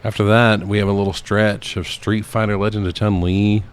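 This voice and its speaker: A man with a low-pitched voice. He co-hosts a podcast and speaks in a loose, conversational style. His wording is informal throughout. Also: little pitch movement, normal speed, clean tone. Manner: informal, occasionally profane. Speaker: podcast co-host. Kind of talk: casual podcast chat.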